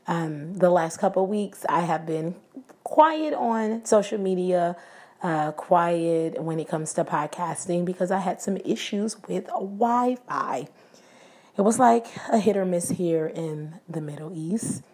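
A woman with a raspy, husky voice talks straight to her podcast listeners. Her tone is dark and mellow, and her pitch sits on the low side. She speaks earnestly and prayerfully. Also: expressive, a little slow. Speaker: podcast host. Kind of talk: casual devotional talk. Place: living abroad, indoors.

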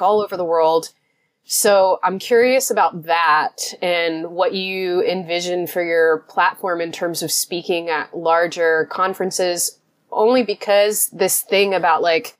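A woman hosting a podcast interview, asking thoughtful questions with frequent fillers. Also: fairly low pitch. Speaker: podcast host.

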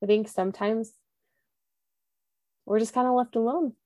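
A woman's voice: a little high, fairly even intonation, normal speed, warm tone, no room sound, clean studio sound. A young woman speaking candidly in an interview, earnest and reflective, with lots of "like" and restarts. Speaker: podcast host and guest.

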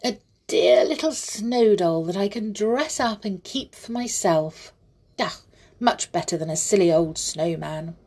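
Woman voicing a storybook naughty girl doll, huffy, boastful, husky tone. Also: medium pitch, animated, measured pace, a little mellow.

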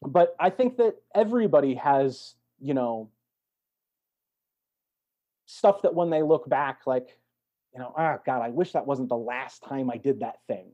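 A man speaking as an interview guest, an actor talking in a thoughtful, reflective way with measured phrasing and emphatic swells. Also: mid-range voice, dark tone, muffled recording. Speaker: podcast guest, stage and voice actor.

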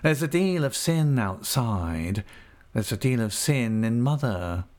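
A man's voice: mid-range voice, expressive, slow pace, darkish tone, pitched above the performer's own voice. A man reading an audiobook, voicing a falsely humble, scheming young male clerk with an oily, cringing manner. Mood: whining. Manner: oily, cringing humility with a whining edge. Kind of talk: audiobook character voice.

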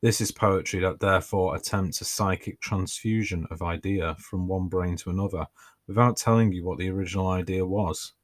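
A man hosting his own podcast, reading his writing aloud in a relaxed way with dry, self-mocking humour. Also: low-pitched, moderate pace. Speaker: podcast host and author.

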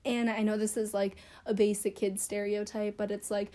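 A woman's voice: mid-range voice, little pitch movement, normal speed, dark tone. A young woman chatting casually to camera about her childhood, rambling and self-deprecating with lots of fillers. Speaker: vlogger.

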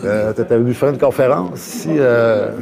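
A man with a Quebec French accent in casual chat, speaking colloquially and a little haltingly. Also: medium pitch, vocal fry, a crowd in the background. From Matane, Quebec. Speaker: video artist and news cameraman.

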